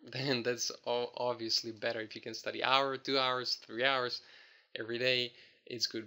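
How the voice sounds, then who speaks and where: A young man talking casually to his viewers about learning languages, relaxed and chatty with lots of fillers. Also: medium pitch, clean tone. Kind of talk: casual talk to camera.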